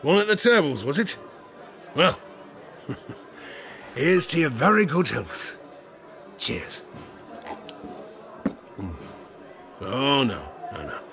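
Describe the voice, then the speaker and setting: Old ex-harpooner, audio drama: crackly, gravelly voice, very animated, theatrical, rueful storyteller with salty rustic speech. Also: male voice, medium pitch, soft background music, exaggerated voice. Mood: jovial. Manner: theatrical, rustic dialect, drawn-out suspenseful phrasing with chuckles. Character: weathered old male sailor, superstitious ex-harpooner, yarn-spinning and haunted. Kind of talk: dramatic first-person tale-telling, audio drama.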